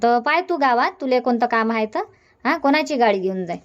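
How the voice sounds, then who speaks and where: A woman playing a nagging village aunt, high-voiced. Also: lively intonation, fairly quick, natural voice. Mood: firm. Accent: Vidarbha Marathi. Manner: nagging, lecturing, long run-on scolding. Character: scolding middle-aged village aunt.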